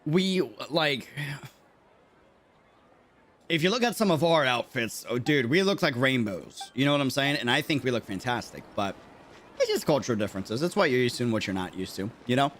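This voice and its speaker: A man's voice: medium pitch, sing-song, normal speed, fairly bright tone, a little hiss or hum. A young man from Latin America giving casual livestream commentary as a game streamer, chatty and slangy, often thinking aloud. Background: video game music.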